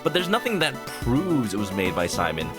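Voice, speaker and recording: A man's voice: medium pitch, wildly swooping pitch, moderate pace. A man streaming a video game, chatting playfully and reading game text aloud. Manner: playful, often reads game text aloud and shouts catchphrases. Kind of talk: casual gaming commentary. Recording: game music behind the voice.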